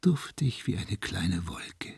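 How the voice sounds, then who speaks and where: An actor playing a deranged, vengeful madman in a German radio play, hushed and husky, savoring his cruel fantasies word by word. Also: low-pitched, sing-song, slow pace, dry recording, studio quality, full character voice. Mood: rapt, gloating. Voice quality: husky, gravelly, breathy whisper.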